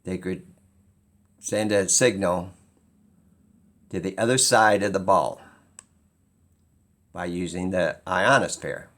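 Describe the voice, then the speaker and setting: Slow-talking man, fairly low voice. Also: a bit bright. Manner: halting, pausing mid-sentence, musing. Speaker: video host. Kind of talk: informal skeptical explainer monologue.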